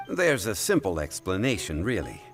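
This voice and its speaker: Low-voiced learned game gentleman, calm, formal, expressive intonation, music behind. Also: creaky, heavily acted. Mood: calm, assured. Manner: measured, formal, refined phrasing. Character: calm, learned, courteous gentleman, deliberate and scholarly. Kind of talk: video game voice acting.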